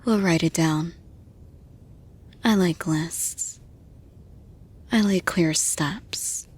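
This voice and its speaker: A woman playing a composed, supportive female boss in an intimate roleplay audio, speaking to the listener in a measured, reassuring, soft manner. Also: fairly low pitch, husky, exaggerated voice. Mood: calm, reassuring.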